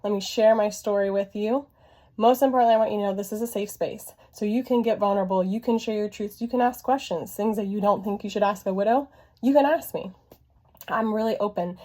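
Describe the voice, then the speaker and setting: A woman, hoarse from illness, talking earnestly and encouragingly to her viewers from her bedroom office. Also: medium pitch, warm tone. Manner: earnest, encouraging, laughs often. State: hoarse, voice coming and going from illness. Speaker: vlogger sharing her life as a widowed mother. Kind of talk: heartfelt casual talk to camera. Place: home bedroom used as an office.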